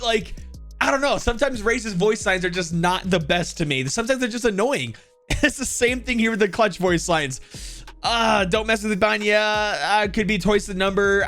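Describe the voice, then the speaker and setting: A man's voice: sits high, a bit bright. A young man giving casual, slangy ranking commentary as a gaming video host, talking to viewers. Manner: informal, slangy, addresses viewers as guys.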